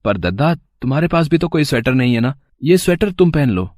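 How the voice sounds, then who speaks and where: A man voicing a kind, caring young college student in an animated moral story, earnest and gentle. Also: mid-range voice, brisk, no room sound, studio quality, close to an everyday voice.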